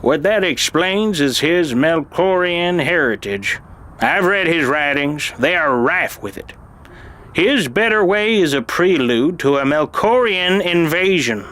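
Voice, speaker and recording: Male actor as elderly uncle, slow speech, higher-pitched, cranky, desperate, halting, audio fiction. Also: expressive, a bit bright. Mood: agitated. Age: older. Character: irascible, desperate old uncle insisting on his truth. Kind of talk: dramatized audio fiction acting.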